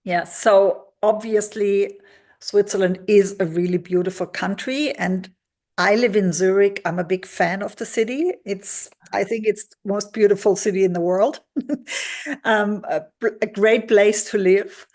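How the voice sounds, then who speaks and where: A woman's voice: mid-range voice, steady tone, measured pace, a little mellow. A woman from Germany, a career expert answering interview questions over a video call, talking freely with many fillers.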